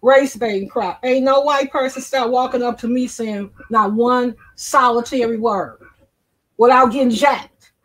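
Blunt high-voiced woman host. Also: steady tone, unhurried, poor audio. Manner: blunt, reads headlines aloud. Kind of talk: reading news and debating. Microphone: video call.

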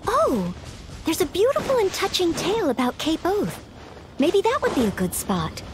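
An actress voicing a shy, earnest, dutiful teenage maid knight in an anime-style game, polite and hesitant. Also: female voice, very high voice, wildly swooping pitch, moderate pace, noisy background, full character voice. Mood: earnest, helpful.

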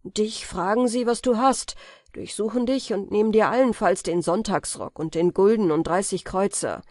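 A woman's voice: mid-range voice, normal speed, darkish tone, no room sound, studio quality, natural voice. A woman reading an audiobook, voicing a fearful young male goldsmith apprentice who pleads and protests. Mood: anxious. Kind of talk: audiobook character voice.

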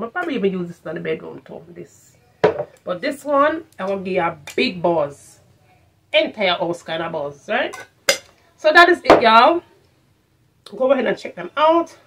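A woman's voice: mid-range voice, a little hiss or hum. Jamaican woman chatting casually to camera. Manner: animated patois-flavoured talk, repeats phrases for emphasis. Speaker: vlogger, product reviewer. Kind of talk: casual chat to camera, shopping haul review. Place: furnished room at home, indoors.

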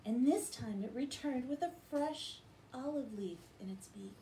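A woman's voice: high voice, lively intonation, a little slow, mellow, slight background noise, poor audio. A middle-aged American woman reading and talking to children in a bedtime-story style, recorded at home on a device a short way off. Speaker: children's Bible story reader. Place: living room at home, indoors.

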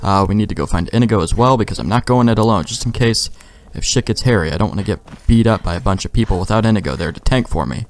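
Guy with a lowish voice chatting through a game, swears a lot, jokes around. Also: quick pace. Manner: sweary, joking, talks back to game characters. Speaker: let's play host. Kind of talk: casual gameplay commentary.

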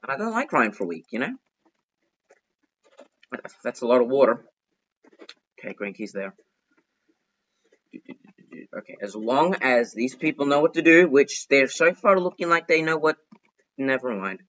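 Bright-voiced young man chatting over gameplay. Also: mid-range voice, normal speed, long pauses, lo-fi sound. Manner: chatty, excitable, frets and pleads aloud. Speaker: gaming YouTuber. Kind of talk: casual gameplay commentary.

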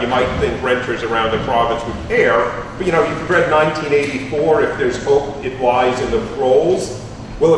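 A man in his thirties talks through his points on a panel, wry and analytical, with lots of fillers. He sounds far from the mic in a very echoey room with noticeable background noise. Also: mid-range voice. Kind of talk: panel discussion talk. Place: large room with panel table.

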